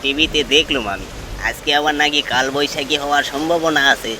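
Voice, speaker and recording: Horror cartoon villager played by a man: talkative, foreboding tea-stall regular, slightly high voice, over clear rain and wind. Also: normal speed, lightly acted. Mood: chatty, familiar. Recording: rain and wind noise behind.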